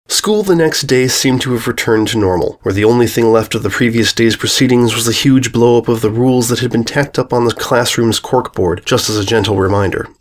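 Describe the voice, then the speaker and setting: Man narrating a story, mid-pitched. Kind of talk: scripted dramatic narration.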